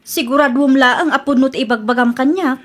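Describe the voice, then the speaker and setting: Woman acting a trusting young girlfriend, really high voice, radio drama comedy. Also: moderate pace. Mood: expectant. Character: hopeful, trusting young girlfriend, easily dismayed.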